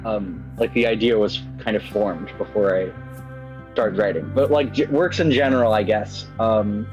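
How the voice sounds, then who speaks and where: A man's voice: lowish voice, normal speed. A man who writes games, chatting casually in an interview, hesitant and rambling with frequent stammers. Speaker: game writer. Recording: slightly dull lo-fi mic. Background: background music.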